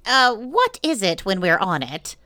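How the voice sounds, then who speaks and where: A woman's voice: mid-range voice, very animated, measured pace, bright, forceful tone, dry recording. Lady doing bossy dry rabbit guy, offhand, kids storybook, close clean sound. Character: brisk, self-important, dry male rabbit. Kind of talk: children's storybook character voice.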